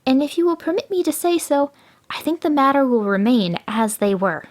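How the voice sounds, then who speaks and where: A woman voicing a cunning male jackal who pretends to be slow-witted, with fluttery, flustered pleading. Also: sits high, expressive, voice pushed up. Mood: feigned confusion.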